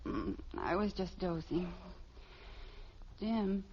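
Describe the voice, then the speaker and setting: An American actress playing a sleepy, soft-spoken, soothing middle-aged wife in an old radio sitcom. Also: female voice, on the low side, slow pace. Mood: drowsy, tender. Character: drowsy, gently soothing suburban wife. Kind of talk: 1950s radio sitcom acting. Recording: muffled, faintly noisy old radio recording.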